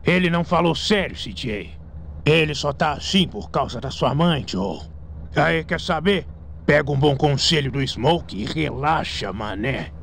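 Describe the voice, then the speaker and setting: Man acting a creaky, jovial fat gangster. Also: fairly high pitch, animated, a little slow, a bit bright, exaggerated voice. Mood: soothing. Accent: Brazilian. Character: laid-back fat gangster friend, gluttonous, jovial, smooth excuse-maker. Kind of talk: Portuguese fan dub of a crime video game. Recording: noisy game audio with vehicle sounds.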